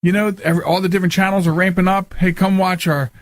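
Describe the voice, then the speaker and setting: Higher-pitched man hosting a casual show. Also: little pitch movement, quick pace, no echo. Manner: informal, repeats and rephrases himself. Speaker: talk show host. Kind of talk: casual solo radio show chat.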